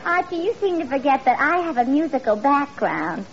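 A woman's voice: sits high, big pitch swings, moderate pace, crowd noise. An actress playing a brassy, dim, argumentative New woman in a 1940s radio comedy. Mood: earnest. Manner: brassy, earnest malapropisms, combative. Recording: muffled old radio broadcast, noisy, studio audience.

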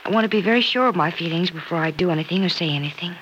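A woman's voice: fairly low pitch, racing, a bit bright, natural voice. An actress playing an earnest, affectionate young single woman who is careful about love, in old-time radio soap style. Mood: earnest, uncertain. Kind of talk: 1940s-50s radio soap acting. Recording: dull, noisy old radio transcription.

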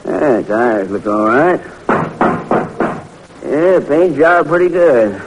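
A man's voice: mid-range voice, very animated, takes it slowly. An actor playing a folksy older garage man who hems and haws as he haggles, in 1940s radio style. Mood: appraising, haggling. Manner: folksy, hemming and hawing, colloquial. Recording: muffled, noisy vintage radio recording.

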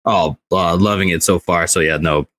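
Deep-voiced man, very dry sound. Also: moderate pace, a bit bright, dry recording. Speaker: podcast co-host. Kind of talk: casual chat.

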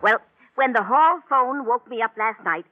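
Through a narrow, tinny vintage radio recording comes a batty old landlady, acted by a woman. She is chatty and excitable, repeating herself in a folksy way, in old-time radio drama style. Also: fairly high pitch, normal speed, natural voice. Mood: eager, rambling. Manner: folksy, repetitive, chuckling, calls men sonny.

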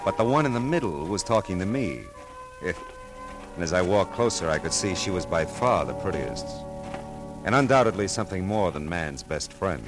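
A very low-voiced American male private eye in a vintage radio drama. His dry, wisecracking delivery swings expressively, flirtatious yet hard-boiled, with music behind him. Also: animated, moderate pace. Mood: wry, brooding. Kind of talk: old-time radio detective drama, first-person narration.

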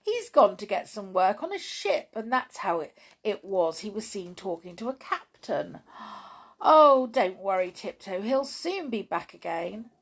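A woman's voice: mid-range voice, big pitch swings, husky, full character voice. A woman voicing a cheerful young female toy who comforts her friend in a children's story. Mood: reassuring. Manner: brisk, comforting reassurance.

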